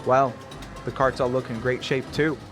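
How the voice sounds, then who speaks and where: A man plays a wary young friend in a horror audio drama. He sounds casual, slangy and doubtful, with a medium-pitched voice. Light music sits behind him. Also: level tone, normal speed, lightly acted. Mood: skeptical.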